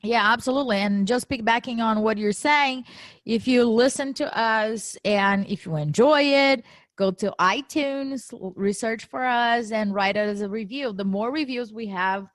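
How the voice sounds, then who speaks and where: A woman's voice: a little high, normal speed, dry recording. A woman co-hosting a podcast, talking animatedly and laughing easily at her own grammar slips. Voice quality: non-native English accent. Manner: animated, laughs often, self-deprecating about grammar. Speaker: podcast co-host. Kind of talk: casual podcast chat.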